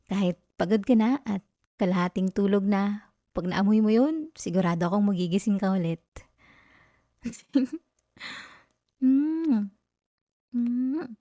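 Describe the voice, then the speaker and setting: A woman acts out an affectionate young girlfriend in an ASMR roleplay. Her voice is soft and mellow, with a playful, coaxing manner. It is recorded very dry and intimate. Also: medium pitch, no echo, close to an everyday voice. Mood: playful, caring. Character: affectionate, teasing young live-in girlfriend comforting tired partner.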